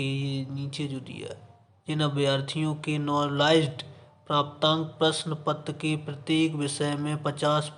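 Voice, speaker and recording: A man teaching, reading out an official notice and explaining it, repeating phrases for emphasis and mixing in English terms. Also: on the high side. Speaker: exam coaching teacher.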